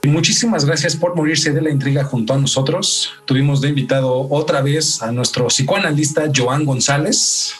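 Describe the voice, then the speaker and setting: A man's voice: on the high side, normal speed, few pauses, fairly bright tone, far from the mic. A man with a Mexican accent hosting a true-crime podcast in a conversational style. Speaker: podcast host. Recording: off-mic, music behind.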